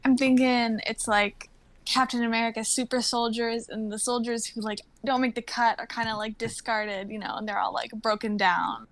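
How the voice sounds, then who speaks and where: A woman's voice: fairly high pitch, steady tone, moderate pace, fairly bright tone. A young woman chatting casually as part of a group livestream. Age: young adult. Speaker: actor and reader on a group livestream. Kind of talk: casual chat.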